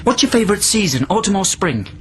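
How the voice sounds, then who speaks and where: A man's voice: high-pitched, very animated, fast. Volatile male captive amid noisy ambience. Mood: coaxing, awkward. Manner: probing, rambling, swinging between tender and menacing. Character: volatile male captive from centuries past, pleading and goading. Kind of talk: radio drama acting.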